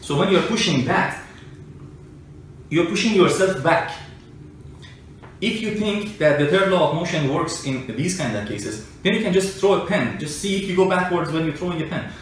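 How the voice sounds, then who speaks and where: A man with a slightly high voice gives an informal, argumentative explanation. He sits far back from the mic in a very reverberant room, talking through simple everyday examples. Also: animated, normal speed. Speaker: explainer, self-described engineer and scientist. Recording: echoey room, distant mic, faint noise.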